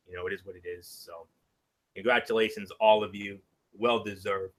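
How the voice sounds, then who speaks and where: Low-voiced man hosting sports talk. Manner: conversational, drops off softly at phrase ends. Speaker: host.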